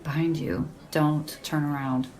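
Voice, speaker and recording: An actress playing a sardonic, deadpan young woman in casual audio drama banter, with a gravelly creak and a dry, teasing delivery. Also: low-pitched, measured pace. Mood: deadpan needling. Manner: dry, teasing, slangy, unimpressed. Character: deadpan, sardonic young woman who loves horror paperbacks.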